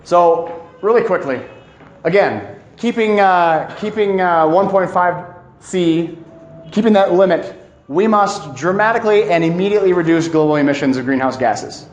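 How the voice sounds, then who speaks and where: A man presenting an informal educational talk, speaking emphatically and haltingly, often repeating key phrases for weight. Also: high voice, expressive, dull audio. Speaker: presenter giving a climate talk. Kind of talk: informal educational lecture to a live audience. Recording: echoey room.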